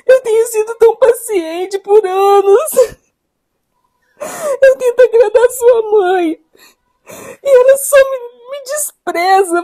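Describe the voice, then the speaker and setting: A woman playing a long-suffering, tearful wife in a domestic drama, plaintive and reproachful, with a Brazilian accent. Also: very high voice. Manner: plaintive, reproachful, repeating herself. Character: tearful, long-suffering wife pleading with her passive husband.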